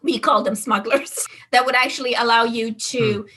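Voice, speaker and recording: Middle aged woman from iran, farsi is her first language, bright voice, really animated storytelling. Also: fairly high pitch, normal speed. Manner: animated storyteller, clears her throat often. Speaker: podcast guest. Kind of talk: personal storytelling in an interview.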